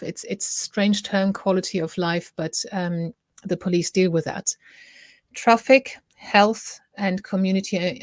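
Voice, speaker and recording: Woman, German accent, middle-aged host, lowish voice, dry close sound, chatty and self-correcting. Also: no room sound. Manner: chatty, self-interrupting, asks viewers for opinions. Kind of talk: casual chat to camera.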